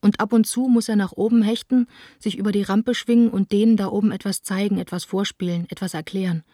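A woman's voice: medium pitch, fairly even intonation, quick pace, warm tone, dry recording, clean studio sound, natural voice. An actress playing an earnest, lovelorn young woman reading her letters intimately in a radio play. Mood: wistful. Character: lovelorn, reproachful young woman, theatre director.

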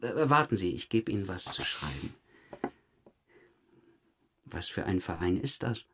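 An actor playing a methodical, calm police detective in an audio crime drama, measured, understated and politely insistent. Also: male voice, deep voice, slightly rough, exaggerated voice. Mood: calm, probing. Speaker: police investigator.

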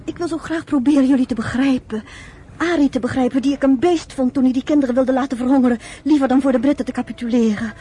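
A woman's voice: high-pitched, quick pace, dark tone, slightly rough. An actress in a radio play voicing an earnest woman who pleads to understand, imploring in her phrasing. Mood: earnest pleading. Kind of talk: radio drama acting. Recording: slightly noisy old radio recording.

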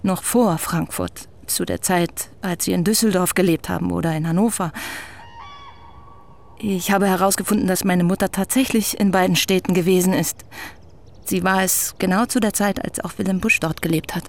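A woman's voice: fairly low pitch, steady tone, creaky. A young woman writer, polite and persistent, radio play. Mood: earnest. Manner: polite, measured questioning. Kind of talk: radio drama acting.

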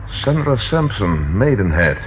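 An actor playing a resolute, level-headed police detective in a Dutch radio play, speaking in a clipped, commanding way. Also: male voice, on the low side, sing-song, slow, phone-quality sound. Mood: brisk, businesslike. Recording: noisy old radio drama recording.

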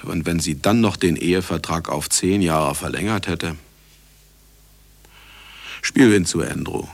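A gravelly, deep-voiced older scientist. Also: male voice, no echo. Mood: wry, resigned. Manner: wry, dismissive, reflective. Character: jaded older male scientist, defensive, wry and regretful. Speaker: actor and first-person narrator in a radio play. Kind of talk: radio play acting, narrating to the listener. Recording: studio radio play with music behind.

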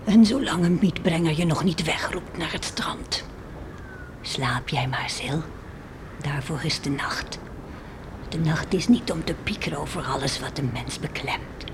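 An actress playing an anxious, tender and pious farmer's wife and mother in a radio play, musing in hushed, emotive tones. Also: low-pitched, a little slow. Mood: hushed, troubled. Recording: old radio play with faint noise.

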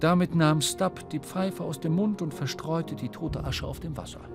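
A man narrating a literary radio play in a measured, dramatic storytelling manner. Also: on the high side, over music. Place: dry studio.

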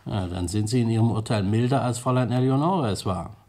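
An actor playing a shrewd, gently mocking police detective in an old German radio crime play, pressing his points with pointed questions. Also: medium pitch, fairly quick, lightly acted. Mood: insistent, probing. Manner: rhetorical questions, prodding interjections, pointed emphasis. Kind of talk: mid-20th-century German radio crime drama acting.

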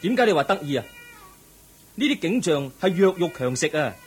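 A man's voice: a little high, big pitch swings, fairly quick, husky, full character voice. A man playing a thoughtful, compassionate young crown prince in a Cantonese audio drama, earnest and questioning. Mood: pensive.

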